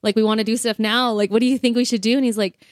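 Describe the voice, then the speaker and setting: A woman's voice: a little high, steady tone, rapid-fire, no echo, studio quality. An American woman co-hosting a podcast, talking casually and earnestly with emphatic, informal phrasing full of "like". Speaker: podcast co-host. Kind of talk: casual conversational podcast talk.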